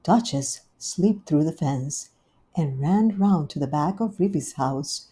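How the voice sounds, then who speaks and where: Unhurried woman reading a children's story. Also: low voice, breathy edge. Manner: unhurried, gently expressive storytelling. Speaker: storybook reader.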